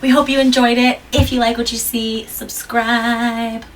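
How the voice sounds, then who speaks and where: A woman reading a children's picture book aloud, gentle, with dramatic whispers and asides. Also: fairly high pitch, flat delivery, unhurried. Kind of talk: read-aloud storytelling. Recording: distant mic with background hiss.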